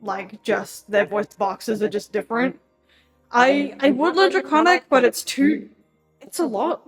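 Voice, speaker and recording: A woman's voice: fairly high pitch, measured pace, soft background music. Sweary, chatty Australian woman. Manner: sweary, laid-back and chatty. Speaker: roleplay player. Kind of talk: casual chat.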